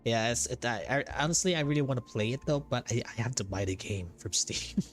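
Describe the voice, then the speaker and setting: A man with a Filipino accent chatting casually as a game streamer, haltingly and often repeating his phrases, over game music. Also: medium pitch, animated. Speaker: game livestreamer. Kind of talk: casual livestream chat. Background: video game music.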